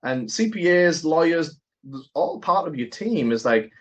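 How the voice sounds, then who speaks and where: A man hosting an interview, talking in an animated, conversational way and thinking aloud. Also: fairly high pitch, unhurried. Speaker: podcast host. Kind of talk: casual interview chat. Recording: dull lo-fi audio.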